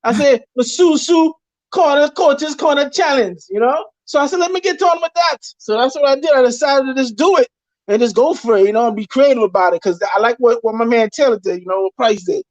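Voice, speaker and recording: Boisterous Trinidadian man, high voice. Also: a little fast, no room sound. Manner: boisterous and slangy. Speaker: guest. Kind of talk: casual chat. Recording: dull dry lo-fi sound.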